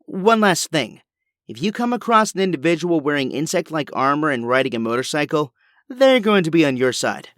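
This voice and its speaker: A man's voice: fairly high pitch, very animated, fairly quick, a bit bright, no echo, studio quality. A man playing an earnest, brainy young hero with a geeky, kindly manner in an audio drama. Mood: focused.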